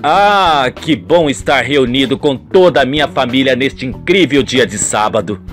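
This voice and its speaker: A man playing a smooth, deceitful adulterous lawyer in a radio soap, glib and self-assured. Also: mid-range voice, animated, measured pace, soft background music, pitched below the performer's own voice, natural voice. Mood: feigned contentment. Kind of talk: radio soap opera acting.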